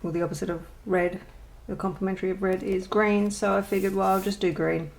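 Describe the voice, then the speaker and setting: A woman's voice: medium pitch, level tone, brisk, vocal fry, darkish tone, poor audio. A woman chatting casually in a show-and-tell vlog, rambling and hesitant with many ums and self-deprecating asides. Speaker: colouring channel host.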